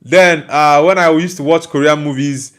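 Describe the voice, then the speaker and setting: A young man with a Nigerian accent hosts a casual live football stream. His voice sits a little high. He talks loosely, with plenty of fillers and restarts as he answers the chat. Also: steady tone, moderate pace, a bit bright, clean tone. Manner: loose, hesitant talk with many fillers and restarts. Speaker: football channel host reading live chat.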